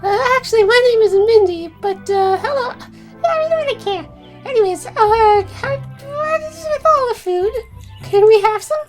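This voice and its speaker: A woman's voice: very high voice, lively intonation, moderate pace, over music. A woman voicing a cheeky, flustered cartoon girl with a foul mouth, hesitant and rambling, in an improvised parody dub. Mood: awkward, casual.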